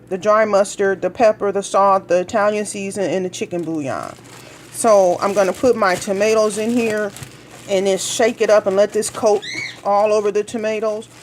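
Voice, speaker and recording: Middle-aged woman from Minnesota, folksy home-cook vlogger chatting to viewers in her kitchen, mid pitch. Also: slight background noise. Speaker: cooking vlogger. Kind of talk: casual cooking demonstration.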